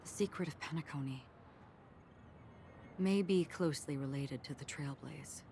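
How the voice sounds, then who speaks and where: A woman playing a calm, enigmatic, melancholic game swordswoman, soft-spoken, reserved and deliberate. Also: deep voice, a little hiss or hum. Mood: earnest, calm. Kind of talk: video game voice acting.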